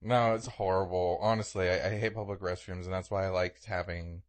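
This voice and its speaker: A man's voice: sits low, steady tone, a little fast. A young man co-hosting a podcast, telling stories in casual comedic chat with exaggeration and casual swearing. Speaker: podcast co-host.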